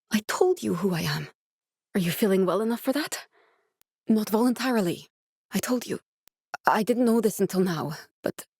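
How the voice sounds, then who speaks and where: An actress playing a guarded, driven scientist in a video game, speaking in a measured, clinical way. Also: female voice, lowish voice, a little mellow, husky, no echo, studio quality. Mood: serious, measured. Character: guarded, driven woman scientist guiding a survivor, dry and earnest. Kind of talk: video game character voice acting.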